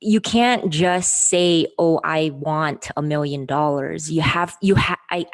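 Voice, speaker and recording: A woman from the Philippines with a Filipino accent shaded by Hawaii, hosting a casual interview in an earnest, encouraging way. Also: sits low. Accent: Filipino with Hawaiian influence. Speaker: host.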